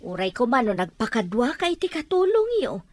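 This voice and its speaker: An actress playing an inquisitive, fussing auntie neighbour in a radio drama. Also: female voice, fairly high pitch, very animated, normal speed, dry recording. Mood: probing, concerned.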